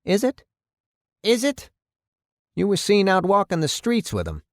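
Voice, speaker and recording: A man acting out a blustering, high-pitched angry mayor. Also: huge pitch swings, unhurried, no echo, studio quality, exaggerated voice. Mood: accusing. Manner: indignant, hectoring, emphatic.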